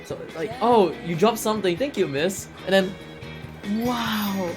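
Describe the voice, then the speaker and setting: Someone doing casual speedrun commentary while playing, excitable and prone to playful exclamations and acted-out reactions. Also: medium pitch, takes it slowly, music in the background.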